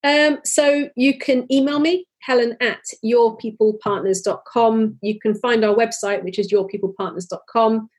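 Husky woman talking candidly. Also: a little high, moderate pace, hardly any pauses. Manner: earnest, animated, many restarts. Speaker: podcast guest. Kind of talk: candid conversational interview.

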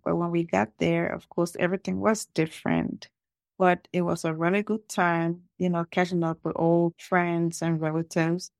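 A woman's voice: fairly low pitch, creaky, no room sound, clean studio sound. A middle-aged woman from Liberia, telling stories conversationally as a podcast guest. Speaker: podcast guest, home cake business owner. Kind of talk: conversational interview storytelling.

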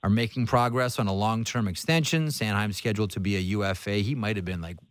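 A man's voice: fairly low pitch, fast talker, smooth, no room sound. A man hosting a sports talk show, speaking casually and conversationally about hockey, with blunt asides.

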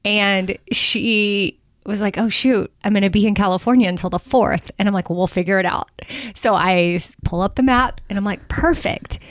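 A woman in her forties hosting a podcast, chatting casually, laughing often and slowing down when she reads something aloud. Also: medium pitch, dry recording, studio quality. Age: 40s. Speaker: podcast host.

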